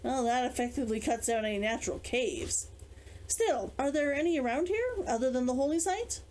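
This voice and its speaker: A woman reads the part of a practical, inquisitive man planning a search in a storybook adventure. The voice is on the high side and very lively, thinking aloud and asking questions. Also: brisk, a little hiss or hum, low-quality recording. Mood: thoughtful, practical. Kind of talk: character dialogue in audiobook reading.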